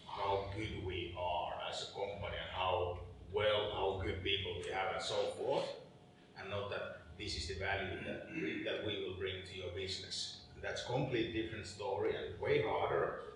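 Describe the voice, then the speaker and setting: A man from Finland speaking as a panelist, measured and repeating words as he builds his point. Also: sits low, flat delivery. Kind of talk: casual panel discussion. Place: event venue with audience. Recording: echoey room, mic far off, background noise.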